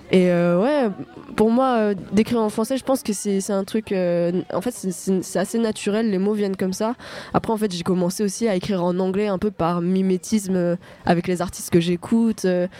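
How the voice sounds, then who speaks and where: Young woman singer from france, soft mellow tone, lots of euh and restarts. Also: on the low side. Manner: hesitant, many fillers and restarts. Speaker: singer-songwriter guest. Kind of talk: radio interview answers.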